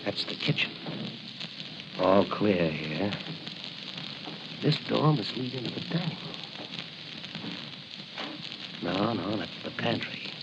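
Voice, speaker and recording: Confident masked cop hero, clipped 1940s radio drama acting, muffled and really hissy old recording. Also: male voice, on the low side, sing-song, slow, pauses often, exaggerated voice. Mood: wary, intent. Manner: thinks aloud in clipped remarks. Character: bold masked crime-fighting patrolman hero, confident, determined.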